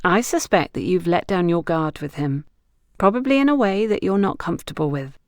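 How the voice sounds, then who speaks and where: A woman's voice: on the low side, animated, a little fast, darkish tone, dry recording, clean studio sound, lightly acted. A woman narrator voicing a frank, teasing female confidante who gives knowing advice in an audiobook. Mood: reflective, advising. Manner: playful, knowing, instructive. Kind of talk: audiobook character dialogue.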